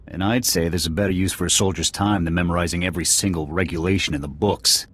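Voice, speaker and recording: A wry, skeptical soldier with an extremely deep, husky voice. He delivers dry, sardonic remarks about his comrades. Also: steady tone, fast talker, fairly bright tone. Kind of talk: video game cutscene voice acting.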